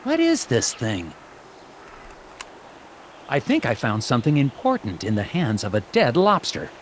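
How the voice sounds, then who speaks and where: An actor playing an earnest, polite and slightly uneasy FBI agent in a video game. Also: male voice, mid-range voice, wildly swooping pitch, a little slow, slight background noise. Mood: musing, determined. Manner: formal, earnest questioning. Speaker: game character, investigator. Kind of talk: scripted video game dialogue and narration.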